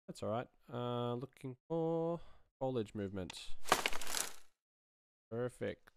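A man in his thirties, a sound designer, thinking aloud casually in low-key short fragments as he works in a small studio. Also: lively intonation, takes it slowly, vocal fry, dull audio, heavy background noise. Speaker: sound designer, tutorial host. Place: small home studio room indoors.

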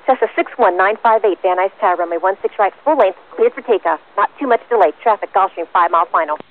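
A woman speaks as an airport tower controller, medium in pitch. Her phrasing is clipped and procedural, and it comes through a thin, phone-like aviation radio channel. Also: fast talker. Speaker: air traffic tower controller. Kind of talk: brisk radio instructions to pilots. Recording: tinny, narrowband aircraft radio transmission.

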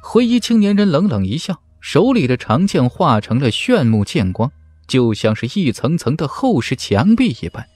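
A man's voice: fairly high pitch, very animated, moderate pace, a little mellow, dry recording, soft background music. A man narrating a fantasy martial arts audiobook in a dramatic, scripted style.

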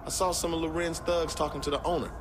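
An actor playing a cocky, crude gang lieutenant in a video game. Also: male voice, fairly high pitch, steady tone, fast talker, noisy background, close to an everyday voice. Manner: brash, swaggering, crude.

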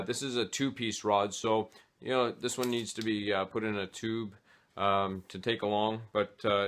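A man in his forties talking casually to a webcam in a small home office, pausing often with "uh" between phrases as he explains his fishing gear. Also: fairly low pitch, fairly bright tone. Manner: hesitant, many "uh" fillers. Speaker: fly fishing vlogger. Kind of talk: casual gear talk to camera.

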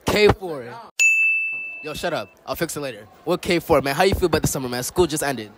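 A teenage boy vlogging loud, joking, slangy interviews with classmates on a phone camera in school hallways. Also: fairly high pitch, animated, a little fast. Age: teens. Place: school hallways and walkways, indoors and out. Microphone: handheld phone camera. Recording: noisy crowd of students behind.

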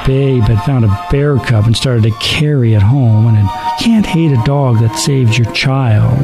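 A man narrating a radio play in a measured, literary storytelling way. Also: medium pitch, vocal fry, music in the background.

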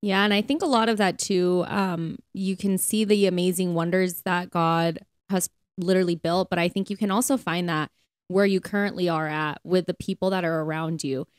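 A woman's voice: fairly low pitch, steady tone, moderate pace, no echo, clean studio sound. A woman co-hosting a podcast, talking in an earnest, chatty way in casual conversation about faith. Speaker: podcast co-host.

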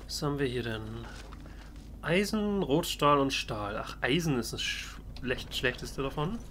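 A man's voice: mid-range voice, expressive, a little slow, fairly bright tone, slight background noise. A man giving casual let's play commentary, talking in character to the game's figures. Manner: talks to game characters as if present. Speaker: let's player. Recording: voice over game music. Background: video game music and sounds.

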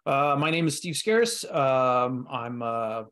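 Middle-aged American male guest, medium pitch, casual storyteller on a video call. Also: lively intonation, takes it slowly, a bit bright. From Kansas City, United States. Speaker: guest, writer and content strategist. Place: indoor room on a video call.

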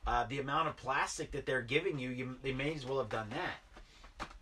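A man's voice: mid-range voice, normal speed, bright, forceful tone, far from the mic, a little hiss or hum. A man in his thirties chatting casually while unboxing toys in a basement, rambling with lots of fillers. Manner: rambling, filler-heavy, enthusiastic about toys. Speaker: toy collector vlogger. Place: basement toy room, indoors.

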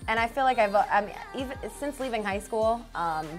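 A woman, a singer being interviewed, talking softly and hesitantly with many fillers. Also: a little high, lively intonation, a little fast, soft background music. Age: 20s. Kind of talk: casual interview chat. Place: small studio with branded backdrop.

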